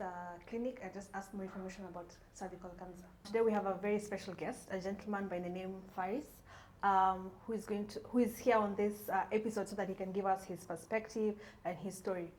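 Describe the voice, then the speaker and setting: A woman's voice: medium pitch, darkish tone, echoey room, a little hiss or hum, poor audio. A young woman who grew up in Kenya, co-hosting a podcast, introducing the episode in an earnest way with some fillers. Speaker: podcast co-host.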